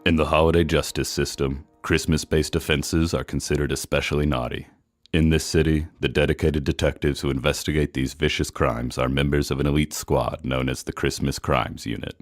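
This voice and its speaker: A man's voice: a bass voice, steady tone, brisk, no room sound, clean studio sound. A man playing a grave, ominous crime-show announcer, reading a scripted dramatic intro with solemn weight. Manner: portentous, solemn.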